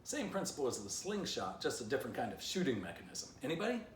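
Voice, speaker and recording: A man voicing an excitable, scheming storybook dog who pleads and grumbles in exasperation, obsessed with catching a squirrel. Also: medium pitch, fast, voice pushed up, natural voice. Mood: eager, pleading. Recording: roomy, distant mic, faint noise.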